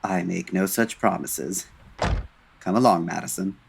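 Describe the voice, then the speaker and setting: An actor playing a suave, unflappable gentleman detective in an old-time radio parody, speaking in a measured, formal, dryly amused way. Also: deep voice, vocal fry, slight background noise, full character voice.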